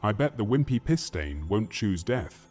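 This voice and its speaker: Man playing a blustering, foul-mouthed castle warrior, wildly animated. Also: fairly low pitch, unhurried, soft background music. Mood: blunt, scornful. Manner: blustering, mocking, crude. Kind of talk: fantasy audio drama acting.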